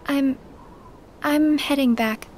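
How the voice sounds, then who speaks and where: An actress playing a quiet, gentle, unsure teenage girl in a video game, with a hesitant, subdued delivery. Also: high voice, fairly even intonation, takes it slowly, very noisy. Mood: subdued, uneasy. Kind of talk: scripted video game voice acting. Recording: loud music over the voice.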